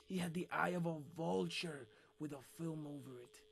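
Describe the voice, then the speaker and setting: A man's voice: fairly high pitch, normal speed, creaky, slightly rough. A man playing a nervous, mad murderer who insists he is sane, in a theatrical, intense gothic horror monologue. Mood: brooding. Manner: theatrical, intense, shifting between whispers and outbursts.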